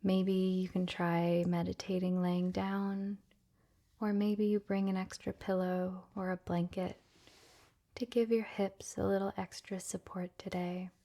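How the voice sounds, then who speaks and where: Female meditation guide: mellow, near-whispered, gentle, very slow and unhurried delivery. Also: mid-range voice, little pitch movement. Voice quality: soft, breathy, often near-whispered. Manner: gentle, soothing, unhurried, with long pauses. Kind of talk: guided relaxation meditation, soft ASMR-like delivery.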